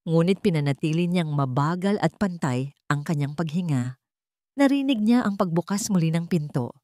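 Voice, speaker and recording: A woman's voice: lowish voice, animated, normal speed, warm tone, no echo, clean studio sound. A woman narrating a scripted dramatic love story as a storyteller.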